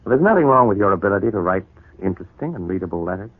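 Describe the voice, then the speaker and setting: Low-voiced, troubled radio soap husband. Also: male voice, sing-song, rapid-fire, slightly rough, dry recording, full character voice. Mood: earnest, pressing. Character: troubled, guilt-ridden, grateful husband and father. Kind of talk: 1940s radio soap opera acting. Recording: muffled, narrow 1940s radio broadcast recording.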